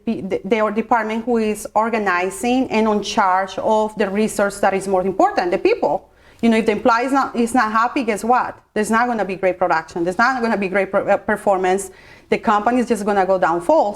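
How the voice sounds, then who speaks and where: Woman explaining her HR work. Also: mid-range voice. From Colombia. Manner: explanatory, with many fillers and restarts. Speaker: guest. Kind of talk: interview answers.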